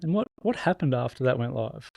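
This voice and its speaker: A man hosting a podcast, calm and easy-going, asking open questions. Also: medium pitch, a little fast, vocal fry, slightly rough, no room sound, clean studio sound. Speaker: podcast host. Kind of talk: casual chat.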